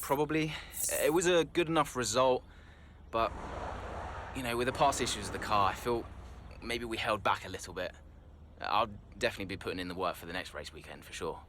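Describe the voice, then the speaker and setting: A man's voice: fairly low pitch, animated, fairly quick, fairly bright tone, a little hiss or hum. A man playing a guarded young racing driver being interviewed in a video game cutscene. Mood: measured, hopeful.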